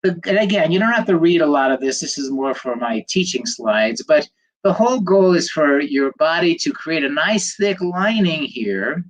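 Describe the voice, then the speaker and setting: A man's voice: on the high side, lively intonation, moderate pace, poor audio. An older man, a doctor, slightly nasal from a cold, giving a chatty livestream lecture, rambling with fillers and greeting viewers by name.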